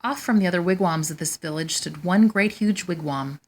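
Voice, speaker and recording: A woman hosting storytime, reading a children's picture book aloud in a measured, expressive way. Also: lowish voice. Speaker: storytime host and reader.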